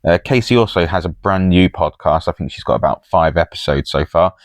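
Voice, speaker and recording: Low-voiced man hosting a podcast. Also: brisk, dry recording. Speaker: podcast host. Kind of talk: casual chat and commentary.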